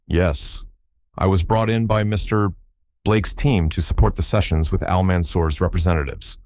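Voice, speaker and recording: A man narrating a storybook voices an adult woman interpreter who answers in an even, measured way. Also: low-pitched, dry recording, studio quality, close to an everyday voice. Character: composed female interpreter, adult.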